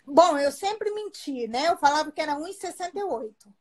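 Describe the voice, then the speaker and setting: A woman's voice: high-pitched, expressive, a little fast, darkish tone. A woman in her forties from Bahia with a Bahian accent, a guest on a home video call who tells stories and laughs easily. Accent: Bahian Brazilian Portuguese. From Bahia, Brazil. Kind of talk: casual live chat interview. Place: living room at home. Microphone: phone or webcam on a video call.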